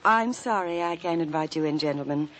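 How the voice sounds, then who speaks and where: Sly, coy, mocking suspect, lowish voice; vintage radio mystery with background hiss. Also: female voice, fairly quick, fairly bright tone. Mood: sardonic. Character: sly, flirtatious, sardonic woman suspect in detective serial. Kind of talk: old-time radio detective drama acting. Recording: noisy old radio recording.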